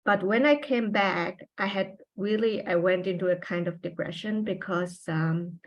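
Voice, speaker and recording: A woman in her forties, a native German speaker talking in English as a podcast guest, reflective and halting with many pauses and fillers. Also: mid-range voice, lo-fi sound. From Austria and Taiwan. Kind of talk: personal interview conversation.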